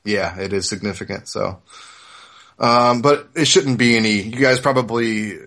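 A man in his thirties with a fairly low-pitched voice hosts a casual podcast. He talks informally and explains things in a conversational way. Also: moderate pace, a bit bright. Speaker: podcast host.

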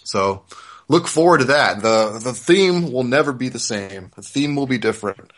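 A man's voice: medium pitch, expressive, normal speed, fairly bright tone. A man in his thirties hosting a casual podcast, explaining things in an informal way. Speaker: podcast host.

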